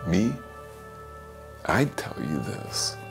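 An older man, a priest, giving a homily in a slow, gentle, reflective way, sometimes dropping to a soft whisper, from a small office. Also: low-pitched, very animated, gravelly, music in the background. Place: small office with bookshelves, indoors.

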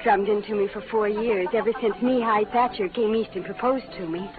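An actress playing a sweet, curious young bride-to-be in a radio Western, polite and hesitant. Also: female voice, mid-range voice, steady tone, brisk, close to an everyday voice. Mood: wistful. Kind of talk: 1940s radio western acting. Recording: tinny old radio recording, music behind.

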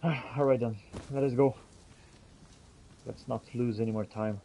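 A man's voice: mid-range voice, fairly even intonation, a little slow, mellow, a little hiss or hum, close to an everyday voice. A man role-playing a musing, companionable fantasy adventurer in a video game, speaking in theatrical, archaic phrasing as he comments on his journey. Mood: inquisitive. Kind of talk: in-character gameplay commentary.

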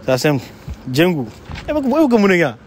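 A man's voice: sits high, wildly swooping pitch, a little fast. Young male street interviewer, noisy outdoor sound. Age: young adult. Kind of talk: casual man-on-the-street interview. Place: open paved outdoor plaza. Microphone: handheld phone shared with guest. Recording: clearly noisy outdoor phone recording.

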